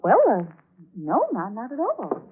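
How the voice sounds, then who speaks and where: An actress playing a nervous, secretive young niece in a vintage radio thriller, polite and hesitant. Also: female voice, fairly high pitch, wildly swooping pitch, a little slow, breathy edge, exaggerated voice. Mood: nervous, evasive. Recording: tinny, noisy old radio recording.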